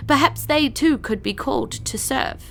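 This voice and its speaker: A woman voicing a stocky cat-folk agent who speaks softly and formally, with earnest deference, in a fantasy audio drama. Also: high-pitched, normal speed, vocal fry, a little hiss or hum, voice pushed up. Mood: earnest appeal. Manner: soft, formal, pleading.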